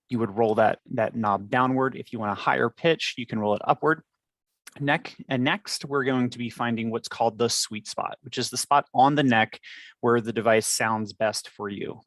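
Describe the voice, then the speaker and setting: A man's voice: medium pitch, a bit bright, dry recording. A man explaining how to use a device step by step in an instructional presentation.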